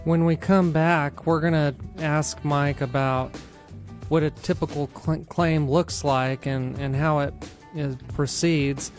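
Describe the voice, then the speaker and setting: A man's voice: on the high side, fairly even intonation, slow pace. A man hosting a radio talk show, asking questions and summing up for listeners. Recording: dry studio sound with music underneath.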